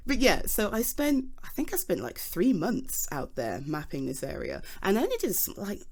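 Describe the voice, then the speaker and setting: A woman's voice: lowish voice, darkish tone. A British woman playing an enthusiastic, self-deprecating geology nerd in an ASMR roleplay, rambling hesitantly as if chatting to a listener. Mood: bashful, amused. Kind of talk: ASMR voice roleplay, improvised one-sided conversation.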